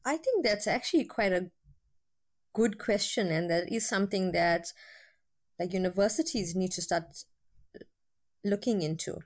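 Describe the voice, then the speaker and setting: A woman's voice: mid-range voice, a little slow, long pauses. A woman lecturer, a guest on a podcast, speaking candidly with frequent fillers. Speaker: university lecturer with a PhD. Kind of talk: interview guest.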